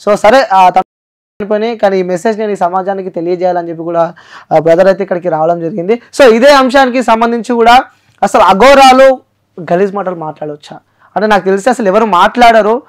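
Fast, high-pitched man with Telangana accent. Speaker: interview host. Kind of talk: news-channel interview hosting, explanatory.

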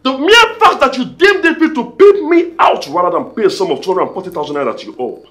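A man's voice: on the high side, animated, very fast, natural voice. A man with a Nigerian accent acting an aggrieved, indignant husband in a screen drama, emphatic and given to repeating himself. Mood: angry, sarcastic.

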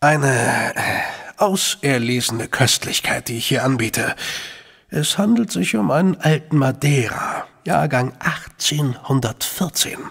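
An actor playing a courteous, guarded middle-aged gentleman official in a German radio play, with a gravelly, husky voice and measured, hemming delivery. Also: mid-range voice, animated, big-room sound, heavily acted. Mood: courteous, hospitable. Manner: courteous, measured, hesitant hems. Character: suave, guarded gentleman official, Sherlock's brother.

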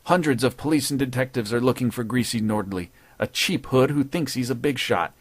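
A man's voice: medium pitch, fairly quick, no room sound, lightly acted. A man voicing a cocky, wisecracking male newspaper columnist with a taunting, sardonic delivery.

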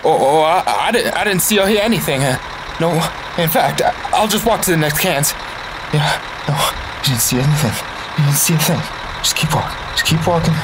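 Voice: mid-range voice, expressive, lightly acted. An actor in an audio drama playing a spooked, stammering witness to hauntings. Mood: shaken denial. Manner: stammering, drawn-out, exclaiming. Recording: noisy ambient background.

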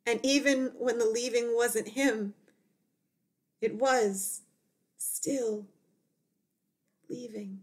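Measured, slow woman poet reading aloud. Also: a little high, fairly even intonation. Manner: measured, short deliberate phrases with pauses. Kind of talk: poetry reading.

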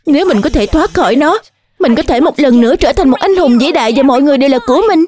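A woman's voice: sits high, lively intonation, fast, darkish tone. A woman voicing a vain, boastful young male cartoon superhero with a self-important, dramatic delivery. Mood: frustrated. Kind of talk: dubbed cartoon acting.

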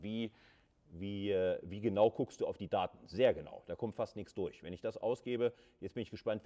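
A man with a fairly low voice gives an informal university lecture. He talks conversationally, as if thinking along with the material, often repeats words to stress them and drops in brief checking tags. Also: dark tone. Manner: conversational, emphatic repetition, frequent checking tags. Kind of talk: informal screen-recorded lecture with live coding and slides.

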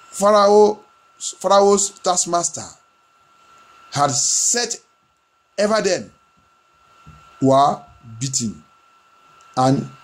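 A male pastor praying and preaching fervently, repeating phrases insistently like a chant as he moves between two languages. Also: medium pitch. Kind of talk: fervent charismatic prayer sermon, switching between French and English.

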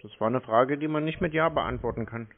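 A man's voice: mid-range voice, fairly quick. A man chatting casually on the phone, asking questions in a naive, puzzled way. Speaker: prank caller baiting phone scammers. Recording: tinny, narrow phone line.

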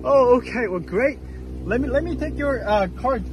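A man filming on his phone outdoors, repeating calm scolding lines. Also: very high-pitched, moderate pace, music in the background. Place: outdoors in a parking lot.

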